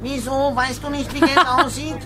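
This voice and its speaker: A woman's voice: high voice, fairly even intonation, normal speed, close to an everyday voice. A woman voicing a cheeky, childlike creature in a radio play, bold and playful.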